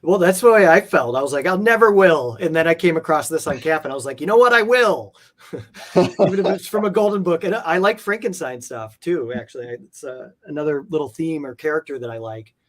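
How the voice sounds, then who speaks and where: A man's voice: on the high side, animated, fairly quick, fairly bright tone. A man talking casually as an interview guest, with an enthusiastic, rambling, conversational manner. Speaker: guest, comic art collector.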